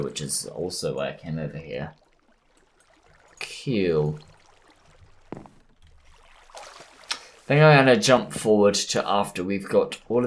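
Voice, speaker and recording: A man with a hoarse voice from a cold, easygoing and punny as he plans his moves aloud while commentating a game. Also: fairly low pitch, a bit bright. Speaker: gameplay commentator. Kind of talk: let's play commentary.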